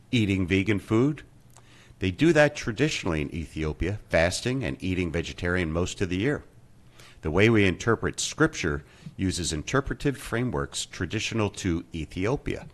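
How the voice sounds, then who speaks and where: Male co-host, very deep, animated, dry wisecracking delivery. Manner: dry and joking, laughs easily. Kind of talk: casual chat and reading a comment aloud.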